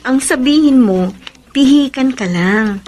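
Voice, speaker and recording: A woman acting a gentle, reluctant young sweetheart in a Filipino radio romance drama. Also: high voice, big pitch swings, takes it slowly, a little hiss or hum, exaggerated voice. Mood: fond.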